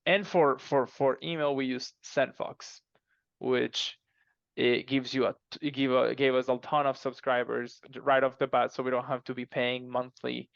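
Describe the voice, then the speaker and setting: A man speaking as an interview guest in casual, halting conversation, repeating words as he explains. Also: mid-range voice, little pitch movement, vocal fry, no room sound, muffled recording. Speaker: podcast guest, fiction co-author.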